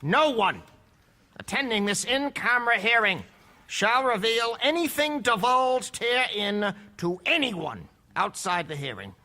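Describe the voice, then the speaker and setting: A man's voice: extremely high pitch, big pitch swings, unhurried, pushed and bright, faint music behind, full character voice. A man acting a stern, formal judge laying down rules in a live-recorded radio drama. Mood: stern, official. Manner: formal, declamatory. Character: stern male judge opening a closed court hearing.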